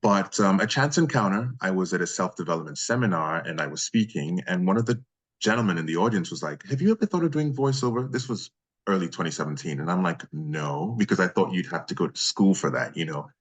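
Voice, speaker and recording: Deep-voiced man from Nigeria. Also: fast, slightly rough. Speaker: voice actor being interviewed. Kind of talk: casual interview chat.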